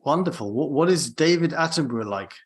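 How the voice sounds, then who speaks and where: A man's voice: on the high side, animated, fairly quick. A man hosting an interview, asking friendly, easygoing questions. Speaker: podcast host. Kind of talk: casual interview chat.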